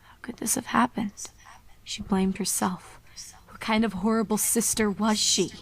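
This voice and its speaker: A woman with a medium-pitched voice narrates a grim fantasy tale. She reads from a script in a dramatic storytelling style suited to horror. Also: fairly even intonation, moderate pace, gravelly. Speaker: fan fiction narrator.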